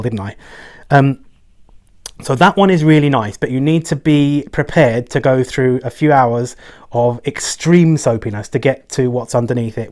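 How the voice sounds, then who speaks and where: A man's voice: medium pitch, a little mellow. Chatty man reviewing perfume oils. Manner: rambling and chatty, often tagging "right?". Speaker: fragrance reviewer. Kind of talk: casual review vlog.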